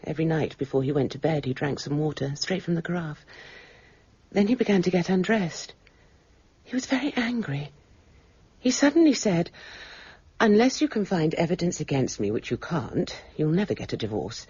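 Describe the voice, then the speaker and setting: An actress playing a distressed, refined widow under suspicion in a radio drama, with a breathy, husky voice and a genteel, intimate manner. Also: female voice, sits low, expressive, normal speed, a little mellow, dry recording, muffled recording, full character voice. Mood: haunted, hushed.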